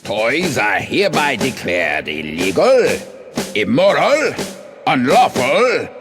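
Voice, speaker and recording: A pompous, toy-hating tyrant mayor blusters in a cartoon game. His delivery is slow and declamatory, with huge swings in pitch. Music plays behind him. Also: very animated. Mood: pompous fury. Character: pompous, grumpy tyrant mayor who hates toys. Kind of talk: theatrical animated game cutscene acting.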